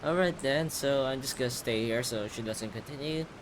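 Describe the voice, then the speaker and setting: Male gamer, mid-pitched voice, informal joking commentary, sweary, faint noise. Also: normal speed. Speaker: gaming video creator. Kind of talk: casual playthrough commentary.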